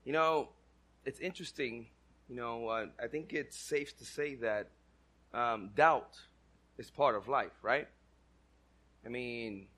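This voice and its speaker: A man's voice: on the high side, a bit bright. A man from the Dominican Republic with a Dominican-accented English, giving a casual, conversational sermon and asking the congregation questions. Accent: Dominican Spanish-accented English. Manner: conversational, asks the crowd questions, self-deprecating humor. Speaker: church speaker.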